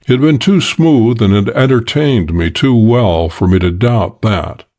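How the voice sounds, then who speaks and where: A man's voice: medium pitch, measured pace, darkish tone. A man narrating a first-person detective audiobook in a dry, wry, hard-boiled way.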